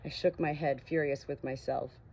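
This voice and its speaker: A woman with a slightly husky voice reading a novel aloud in an expressive, personal way. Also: a bass voice, moderate pace, a little hiss or hum. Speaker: audiobook reader. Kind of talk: casual introduction and expressive narration of a novel.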